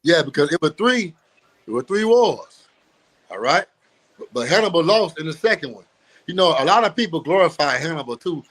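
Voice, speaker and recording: An emphatic man lecturing with a slightly high-pitched voice. He talks in a preachy way, repeats his phrases for weight and laughs often between points. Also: very animated, moderate pace, long pauses, a bit bright. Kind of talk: street-teaching talk.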